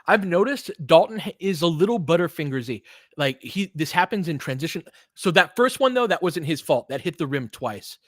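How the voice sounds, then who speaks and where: A man's voice: a little high, sing-song, moderate pace, a bit bright, no echo. Man calling basketball, dry close sound. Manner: clipped short phrases, conversational asides. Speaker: play-by-play commentator and host. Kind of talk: casual live basketball play-by-play with analysis.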